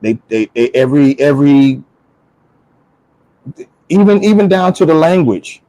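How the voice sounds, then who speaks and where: A man's voice: a little high, animated, unhurried, warm tone, no room sound, poor audio. A man talking casually and with strong opinions, emphatic and repeating phrases for stress. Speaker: talk show speaker.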